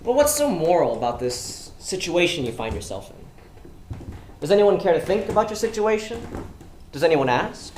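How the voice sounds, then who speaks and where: A man's voice: high-pitched, big pitch swings, moderate pace, darkish tone, reverberant. A man in a stage play acting as a persuasive criminal schemer, coaxing and commanding in a pointed way. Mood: pressing, coaxing. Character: smooth, persuasive male criminal schemer recruiting a reluctant worker. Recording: noisy live stage recording.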